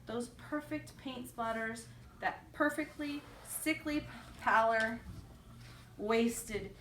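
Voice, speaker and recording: A woman's voice: a little high, takes it slowly, a bit bright. Woman reading aloud in an echoey room. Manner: prompting, repeats children's answers back. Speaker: teacher reading aloud to young children. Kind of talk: picture-book read-aloud with questions to children. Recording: roomy echo, distant mic, faint noise.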